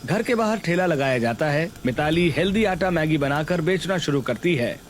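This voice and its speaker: A man's voice: a little high, lively intonation, normal speed, soft background music. A man narrating a scripted cartoon family story.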